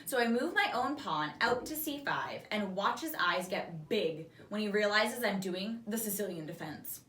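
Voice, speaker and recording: A woman teacher reading a children's story aloud in an expressive, animated way. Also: mid-range voice, moderate pace. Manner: animated, acts out the dialogue. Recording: roomy echo, distant microphone.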